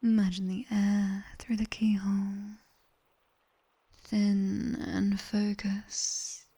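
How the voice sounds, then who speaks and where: Slow, whispering British demoness tutor played by a woman. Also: mid-range voice, level tone, warm tone, heavily acted. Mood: soothing, instructive. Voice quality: breathy whisper. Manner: slow, intimate, teasing, commanding. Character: sharp-tongued, teasing female nightmare demon tutor. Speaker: ASMR performer. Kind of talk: whispered ASMR roleplay, guided body scan. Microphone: close binaural ear microphone.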